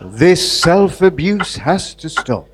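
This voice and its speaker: Man acting a pompous, scolding prison governor, lively delivery. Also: fairly high pitch, slow pace. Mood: stern, exasperated. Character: stern, exasperated male prison governor. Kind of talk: live comedy theatre acting. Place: live performance in a museum. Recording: noisy live stage recording.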